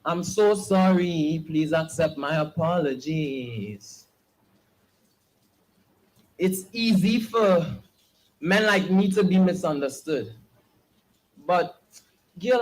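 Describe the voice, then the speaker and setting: A young man, performing spoken word poetry with a slow, earnest, pleading delivery at an open mic. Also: sits high, poor audio. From Trinidad and Tobago.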